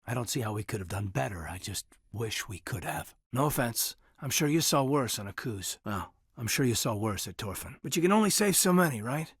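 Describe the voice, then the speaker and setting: An actor playing an earnest, thoughtful military officer in a sci-fi video game, speaking sincerely and respectfully with a husky voice. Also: male voice, mid-range voice, very animated, brisk, hardly any pauses, dry recording, studio quality, full character voice. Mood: somber, sympathetic. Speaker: video game character, soldier. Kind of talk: video game voice acting, conversational dialogue.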